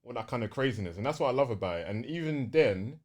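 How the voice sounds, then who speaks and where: A man's voice: medium pitch, lively intonation, fast. A young man with a London accent chatting casually and enthusiastically on a gaming podcast, using plenty of slang.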